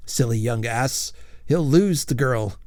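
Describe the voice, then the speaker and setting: Man voicing a gruff old ghost knight. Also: mid-range voice, lively intonation, slow pace, darkish tone, dry recording, clean studio sound. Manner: growling, gruff. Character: old male ghost knight, blustering and chivalrous.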